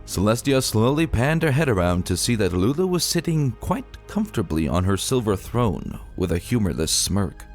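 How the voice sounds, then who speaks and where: A man giving a dramatic, solemn and measured reading of a dark fantasy story. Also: lowish voice. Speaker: narrator reading fan fiction. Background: music.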